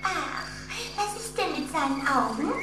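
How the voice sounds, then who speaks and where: A woman's voice: sits high, big pitch swings, a little slow, over music. An actress playing a plucky, cheeky little vampire girl in a radio play, pert and coquettish. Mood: skeptical. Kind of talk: German radio play acting.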